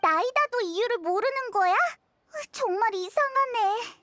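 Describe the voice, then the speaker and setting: Squeaky, bright little fairy companion. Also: female voice, measured pace, no room sound, full character voice. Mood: puzzled, concerned. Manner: expressive, exclamatory, questioning. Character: tiny excitable fairy companion, childlike and outspoken. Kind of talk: scripted game character dialogue.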